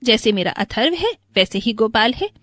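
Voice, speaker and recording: A woman's voice: a little high, big pitch swings, normal speed, fairly bright tone. A woman voicing a wealthy, kind-hearted older mother-in-law who speaks with composed authority. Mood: concerned, kind.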